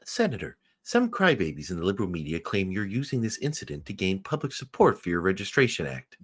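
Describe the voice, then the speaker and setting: A man's voice: low voice, wildly swooping pitch, fast, slightly rough, heavily acted. A man acting a smug, partisan cable news host in a radio play. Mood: smug, leading.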